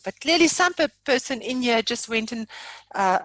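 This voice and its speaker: A South African woman giving an informal software demonstration, chatty and jokey, poking fun at herself. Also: on the high side, expressive, moderate pace, no room sound, poor audio. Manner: chatty, jokey, self-deprecating. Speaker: software trainer.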